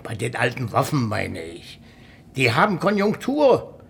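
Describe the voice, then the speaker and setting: A man's voice: mid-range voice, wildly swooping pitch, a little slow, gravelly, a bit bright, slightly rough, full character voice. An actor playing a teasing, grumbling older man, a gun collector, in a radio crime drama. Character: old widower's best friend, teasing gun collector, grumbling.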